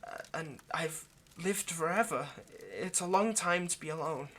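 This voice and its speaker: A man voicing an ageless vampire lover in an intimate audio roleplay, possessive yet remorseful, speaking in a halting, earnest, pleading way. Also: on the high side, sharp tone. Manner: halting, earnest, pleading, dropping to near-whisper.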